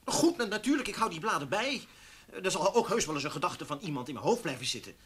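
A man's voice: a little high, expressive, quick pace, a bit bright, husky. An actor in a radio play playing an indignant, self-justifying male newspaper columnist who talks hesitantly and stammers. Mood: defensive. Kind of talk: naturalistic radio play dialogue.

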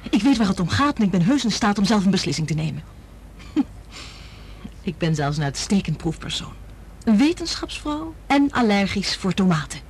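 A woman's voice: mid-range voice, sing-song, moderate pace, darkish tone, slightly rough, exaggerated voice. An actress in a radio drama playing an anxious, determined woman scientist who speaks urgently and earnestly. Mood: determined, persuasive.

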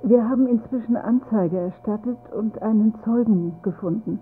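A woman's voice: a little high, normal speed, natural voice. An actress playing a weary, matter-of-fact wife and mother in a radio drama. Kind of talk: German radio drama acting. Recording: thin phone-like band, faint hum.

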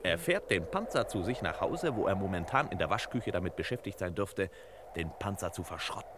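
An actor playing a self-assured, know-it-all master detective in a crime radio play parody, with pompous, clipped delivery. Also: male voice, low voice, lively intonation, fairly quick. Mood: smug, deducing. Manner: pompous, clipped, precise deductions. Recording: noisy old radio drama recording.

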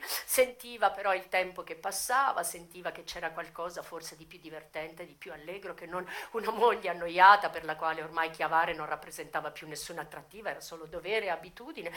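A woman's voice: medium pitch, natural voice. An actress playing an American brothel madam who recalls her hard youth in a bitter, frank, emotive theatrical monologue for radio. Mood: wry, sensual. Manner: emotive, deliberate phrasing with sharp swings from hushed to loud. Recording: voice over music. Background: music.

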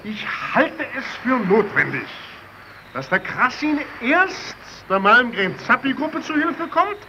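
Stranded Soviet airman, determined and declamatory, lively high delivery, old radio drama. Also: takes it slowly, full character voice. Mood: resolute. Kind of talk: German radio play acting. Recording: muffled old radio recording, faint noise.

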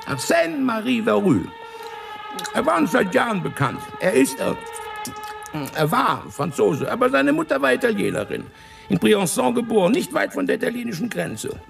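A knowing, self-satisfied investigator in a radio drama. The voice is high for a man and very animated, full of husky gravel. He is a little off the microphone and deliberate in delivery. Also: recorded from a distance, faint music behind, exaggerated voice. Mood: matter-of-fact. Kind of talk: German radio play dialogue.

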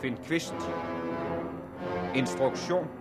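A man announcing cast and credits formally, in the style of 1960s Danish radio. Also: mid-range voice. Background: loud music with guitar.

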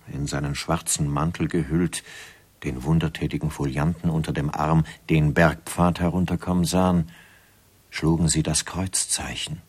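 A grave storyteller in a radio drama. His voice is extremely deep and somewhat rough, and he tells the tale in measured, ominous phrases. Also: full character voice. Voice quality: deep, husky. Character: grave male narrator of a mysterious village tale. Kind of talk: scripted radio drama narration.

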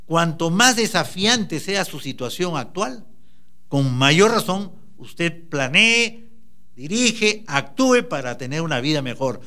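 A man's voice: high voice, sharp tone. Emphatic motivational speaker, man. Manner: emphatic, exhorting the listener directly. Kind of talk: motivational talk.

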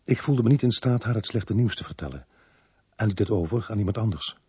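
A man's voice: on the low side, fairly quick, warm tone, breathy edge, no room sound. An actor playing a weary, compassionate male police inspector in a radio drama, both speaking and narrating in the first person. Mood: sombre narration. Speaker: actor in the lead and narrating role.

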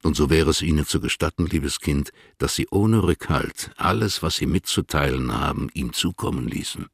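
An actor playing a dignified older poet in a German radio play, formal and gentle, with a deep, gravelly, husky voice. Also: male voice, moderate pace, dry recording, clean studio sound, full character voice. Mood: gracious.

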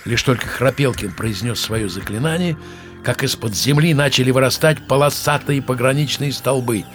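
An actor playing a storyteller, narrating a children's audio play in a measured, dramatic way. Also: male voice, medium pitch, a bit bright, soft background music, natural voice. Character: male fairy-tale storyteller.

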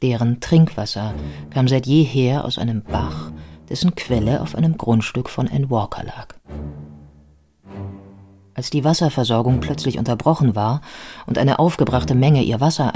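A man playing a sober biographer who narrates a historical life in a measured, factual way for a radio drama. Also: medium pitch, warm tone, natural voice.

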